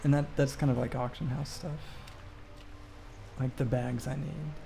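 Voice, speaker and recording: A man streaming a game talks in a soft-edged, mellow voice with noticeable fry. He is reflective and halting, thinking through his answers as he goes. There is light background noise with keyboard typing. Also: medium pitch, little pitch movement. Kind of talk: casual livestream commentary while playing, answering chat questions.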